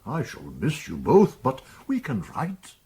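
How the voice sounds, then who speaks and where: A man playing a kindly, earnest father in a period radio drama, with a husky voice. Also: medium pitch, huge pitch swings, measured pace, warm tone, full character voice. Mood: tender, coaxing.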